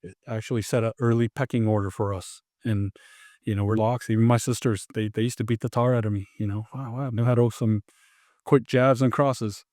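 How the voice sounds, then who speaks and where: A man's voice: lowish voice, brisk, no room sound, studio quality. Rambling middle-aged man telling stories. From born in Tonga, raised in Los Angeles. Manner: rambling, animated, frequent restarts and fillers. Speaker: martial arts instructor, podcast guest. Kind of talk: casual interview storytelling.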